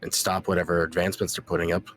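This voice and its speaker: Deep-voiced male player. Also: level tone, racing, fairly bright tone. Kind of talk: tabletop game roleplay.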